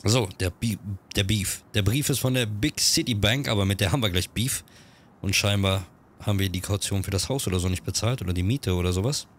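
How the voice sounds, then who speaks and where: A man with a cold, chatting quickly through casual gameplay commentary and reading on-screen text aloud. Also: fairly low pitch, a little hiss or hum. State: has a cold. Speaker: let's-play gamer.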